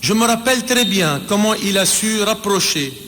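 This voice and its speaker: A man in his forties whose first language is Arabic, giving a measured, reflective spoken tribute as an ambassador, pausing and restarting his sentences. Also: sits high, lively intonation, sharp tone. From Palestine. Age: 40s. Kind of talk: spoken personal testimony. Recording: reverberant room with faint background noise.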